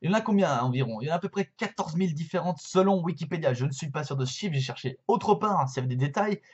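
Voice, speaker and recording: A man's voice: a little high, animated, rapid-fire, breathy edge, highs cut off. A young man in his twenties chatting casually to a webcam from his bedroom, explaining a card game with lots of hesitations and fillers. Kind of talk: casual explainer vlog to camera. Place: bedroom with shelves, indoors.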